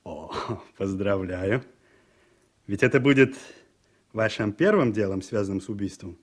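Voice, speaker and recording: An actor playing a gossipy court clerk in a radio drama, talking in a familiar, insinuating way. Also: male voice, on the low side, animated, unhurried. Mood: gossipy, conspiratorial. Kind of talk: Soviet-era radio drama acting.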